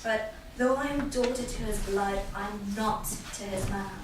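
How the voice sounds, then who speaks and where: A woman playing a troubled, secretive young daughter in love in a halting staged Shakespeare reading. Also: mid-range voice, natural voice. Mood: troubled, yearning. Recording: echoey room from far off, noisy background.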